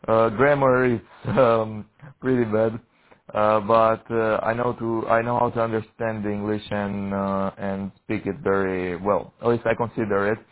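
A teenage man from Romania, a native Romanian speaker, chatting over amateur radio, hesitant and often searching for words. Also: lowish voice. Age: teens. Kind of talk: casual radio chat. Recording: tinny radio transmission.

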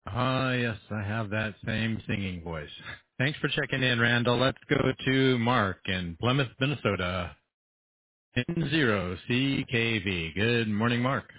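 A man hosting an amateur radio net, calling each station in turn and thanking them in a brisk, friendly way. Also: medium pitch, husky, no echo. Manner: brisk, friendly roll-call delivery. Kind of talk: radio net check-in roll call. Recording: phone-like radio audio with faint hiss.

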